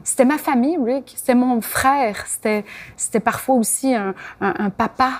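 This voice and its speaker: A woman's voice: a little high, normal speed. A woman interviewed as a singer, telling stories in a thoughtful, reflective way and sometimes searching for her words.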